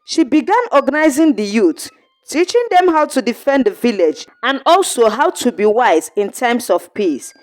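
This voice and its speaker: Animated, high-voiced woman storyteller. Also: normal speed. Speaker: storyteller, narrator. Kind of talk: scripted narration of an African folktale.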